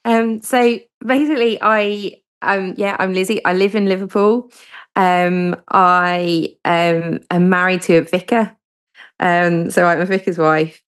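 Southern english woman chatting on radio, low steady voice, lots of ums. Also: a little slow, clean tone. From southern England. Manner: hesitant, many ums, warm and chatty. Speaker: guest author. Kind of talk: interview answers.

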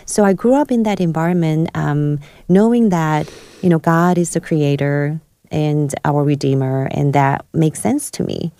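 A woman from South Korea, a guest in a studio interview, telling her life story with many pauses and fillers. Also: sits low, mellow, dry recording. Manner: hesitant, many fillers and restarts. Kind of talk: podcast interview, personal story.

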